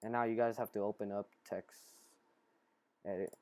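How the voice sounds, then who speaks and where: A man casually talking through a software tutorial in a halting, improvised way, step by step. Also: on the low side, fairly even intonation. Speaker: tutorial host.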